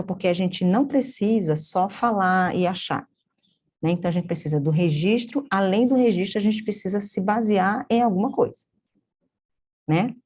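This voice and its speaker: A woman's voice: on the low side, darkish tone. A woman with a Brazilian Portuguese accent, an instructor teaching an online course, explaining step by step, often checking in with tag questions and correcting herself mid-sentence.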